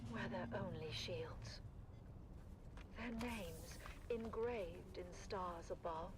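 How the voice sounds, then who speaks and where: A woman playing a solemn warrior who recites a farewell poem in measured dramatic verse for a video game. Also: on the low side. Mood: noble, mournful.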